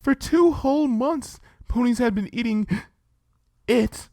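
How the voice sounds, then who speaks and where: Guy doing a shy girly cartoon pony, high soft voice, kinda hesitant n gentle. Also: unhurried, no room sound, studio quality. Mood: shaken, hushed. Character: timid, gentle young female pony.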